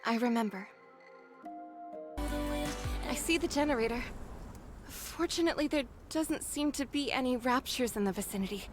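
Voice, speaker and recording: A woman performs a friendly female soldier in an anime game. Her voice sits very high, and she talks in an easygoing, level-headed way while music plays clearly behind her. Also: normal speed, pauses often, lightly acted. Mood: warm, alert. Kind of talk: scripted anime game voice acting.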